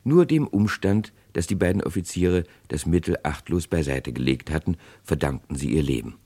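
A man's voice: extremely low pitch, lively intonation, normal speed, dark tone, breathy edge, no echo. A man giving dramatic scripted narration for a vintage radio crime play.

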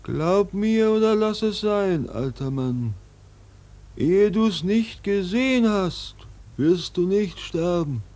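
A man's voice: sits high, expressive, a little mellow. A man playing an eerie, solemn seer in a radio drama, speaking like an oracle. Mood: insistent prophecy. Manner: slow, solemn, oracular. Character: eerie, solemn male seer and harpooner prophesying. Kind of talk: German radio play acting.

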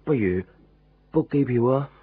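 A man's voice: medium pitch, animated, unhurried, lightly acted. A man with a Hong Kong accent playing a stubborn, devoted, desperate young man in a radio drama. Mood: worried, longing. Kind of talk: Cantonese radio drama acting with inner monologue. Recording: muffled 1990s radio recording, music behind. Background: music.

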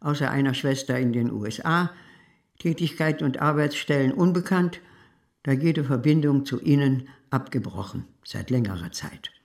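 A woman's voice: rumbling low, expressive, normal speed, a little mellow. Radio drama: headstrong East German party woman, clipped, official, matter-of-fact delivery. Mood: cool, official. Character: stubborn East German communist woman giving official statement. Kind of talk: reading out a formal personnel form.

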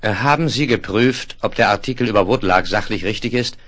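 A man playing an authoritative, genial state marshal in a science fiction radio play, speaking in a measured, courteous way. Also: on the low side, fairly bright tone, a little hiss or hum, close to an everyday voice. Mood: measured, official. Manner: measured, courteous, jovially commanding. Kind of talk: 1970s German radio play acting.

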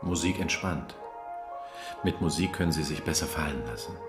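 Brief: a calm therapist, very deep, murmuring, in a roomy space with loud music playing. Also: male voice, normal speed. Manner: murmuring, noncommittal. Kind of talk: radio drama acting.

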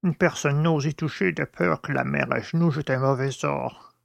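A man playing a gruff, superstitious old servant who grumbles uneasily in rustic, exclamatory talk in an audiobook drama. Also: a little high, steady tone, moderate pace, no room sound, lo-fi sound. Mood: ominous, superstitious.

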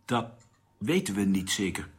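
Actor as male space captain, radio drama, assertive, challenging, lowish pitch, lively intonation. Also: normal speed. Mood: unsure. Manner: direct, challenging.

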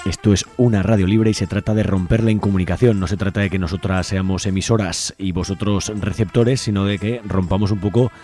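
A man's voice: low-pitched, fairly even intonation, brisk. A man presenting a live community radio show in a conversational way. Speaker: radio host. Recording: music playing behind the voice. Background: music.

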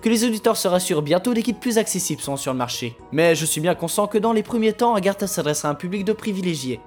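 An actor playing a self-assured, visionary video game company boss, speaking formally in an audio drama interview. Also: male voice, high-pitched, lively intonation, fast talker, faint music behind. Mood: courteous. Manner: formal, polished, explanatory.

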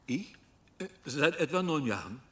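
A man's voice: on the high side, sing-song, normal speed. A man playing a polite, bewildered man in his sixties in a radio play, hesitant and courteous. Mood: awkward. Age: 60s. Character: polite, bewildered man nearing retirement, gently awkward. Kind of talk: German radio play acting.

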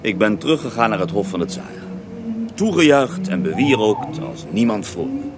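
A man's voice: on the low side, big pitch swings, moderate pace, background noise. An actor playing a resentful Russian holy man who recounts his life in a radio drama, with a scornful, theatrical edge. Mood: bitter. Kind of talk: radio drama acting, interview-like narration.

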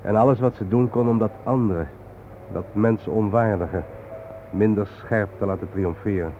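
An actor playing a solemn, reflective male guide to war memorials in a radio play, explaining things gravely. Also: lowish voice, normal speed, narrow, tinny audio, lightly acted. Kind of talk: naturalistic radio play acting. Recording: thin, noisy old radio recording.

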